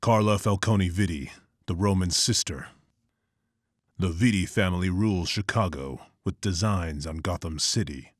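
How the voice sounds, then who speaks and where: A man's voice: deep voice, moderate pace, no room sound, clean studio sound. A man voicing a grim, brooding vigilante detective who narrates in terse, clipped lines. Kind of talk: comic narration.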